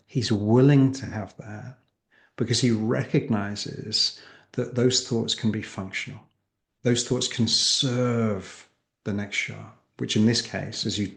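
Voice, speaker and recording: A man with a creaky voice speaking as an interview guest and coach, explaining emphatically with rhetorical questions and acted-out examples. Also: medium pitch. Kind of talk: animated interview conversation, explaining.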